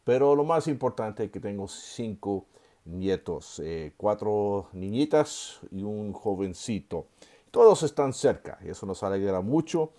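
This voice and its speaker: A man in his sixties, from Chile, teaches a recorded seminary course. His voice sits a little low and sounds warm and mellow. He talks at an easy pace, fills his pauses with soft "eh" and "ah" sounds, and slips in a gentle joke now and then. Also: animated. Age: 60s. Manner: unhurried, full of filler sounds and restarts; gently joking aside. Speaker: seminary professor. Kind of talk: online course lecture.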